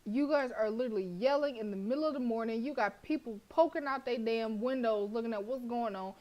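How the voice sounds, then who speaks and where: A young woman streaming a horror visual novel, reading the narration aloud and breaking into blunt, profane, animated reactions with frequent laughter. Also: fairly high pitch, a little fast.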